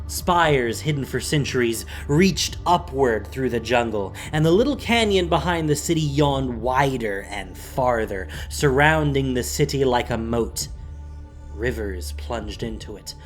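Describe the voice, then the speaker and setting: A male narrator reads scripted fantasy with drama. His delivery is slow and deliberate, with weighty pauses, at a medium pitch. Soft music plays faintly behind him.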